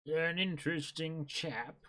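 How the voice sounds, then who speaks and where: A man voicing a friendly old woman, a station master, halting and informative in a manga reading. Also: a little high, bright, forceful tone.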